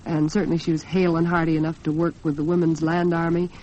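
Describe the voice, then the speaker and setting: A woman's voice: low-pitched, little pitch movement, fast talker, mellow. An American woman hosting a 1940s radio talk program, chatting in an earnest, homely way. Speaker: radio host. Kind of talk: 1940s radio talk with scripted sponsor chat. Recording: dull, band-limited 1940s radio recording.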